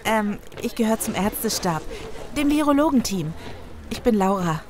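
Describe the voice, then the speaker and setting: A woman's voice: mid-range voice, animated, normal speed, lightly acted. A woman playing an apologetic young doctor from a virology team in an audio drama. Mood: timid, polite. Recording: loud urban outdoor noise.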